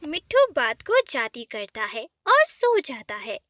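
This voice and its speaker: A woman narrating a cartoon story in a soft, even storytelling manner. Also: on the high side, measured pace, slight background noise.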